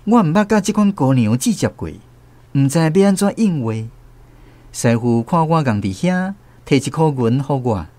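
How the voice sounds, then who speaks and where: A man's voice: on the high side, sing-song, moderate pace, dark tone, dry recording. A man playing a storyteller who recalls his naive country boyhood, narrating a radio drama with a Taiwanese accent. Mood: reflective.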